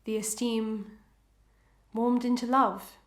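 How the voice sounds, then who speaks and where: Woman acting anguished Victorian wife, high voice. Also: fairly even intonation, roomy sound. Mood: wistful, resolute. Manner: formal archaic diction, fervent and deliberate. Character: anguished, dutiful wife in Victorian melodrama, proud and pleading. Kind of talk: old-fashioned melodramatic stage acting.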